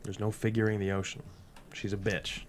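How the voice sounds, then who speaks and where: A man's voice: lowish voice, level tone, a little fast, lightly acted. A man playing a cynical, crude diver for hire in a script table read, blunt and dismissive. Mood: gruff, matter-of-fact. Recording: faint background noise.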